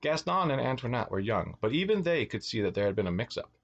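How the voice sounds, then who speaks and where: A man's voice: medium pitch, fast talker, gravelly, dull audio. A man reading a children's storybook aloud as a teacher, expressively, with asides to his young listeners. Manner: expressive, acts out words and exclamations.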